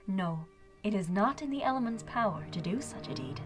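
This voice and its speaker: A woman playing a regal elder pony princess in an audio drama, calm, stern and solemn in a measured way. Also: medium pitch, lightly acted. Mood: grave, calm. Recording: music behind the voice.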